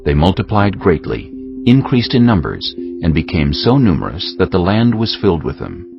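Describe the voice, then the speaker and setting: A man's voice: sits low, big pitch swings, normal speed. A man giving a scripted, dramatic narration of scripture as the narrator. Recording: muffled, clear music bed behind. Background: musical score.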